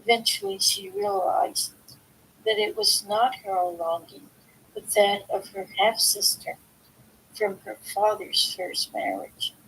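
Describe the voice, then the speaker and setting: A woman reading a book aloud informally, in a halting way, sometimes stumbling over words and correcting herself. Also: mid-range voice, lively intonation, creaky, fairly bright tone, a little hiss or hum, poor audio. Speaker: reader of a book aloud, video host. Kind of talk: informal read-aloud of a self-help philosophy book.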